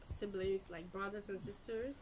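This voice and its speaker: A woman's voice: medium pitch, steady tone, normal speed, darkish tone, slight background noise, low-quality recording. A woman from Ghana hosting a casual interview, friendly and encouraging, often laughing. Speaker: interview host. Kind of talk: casual conversation.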